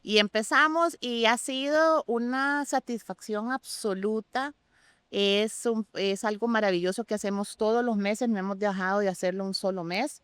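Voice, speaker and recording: A woman's voice: medium pitch, normal speed, fairly bright tone, smooth, dry recording, clean studio sound. A woman from Costa Rica, speaking expressively and with enthusiasm as an expert guest in a conversational interview. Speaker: guest sommelier.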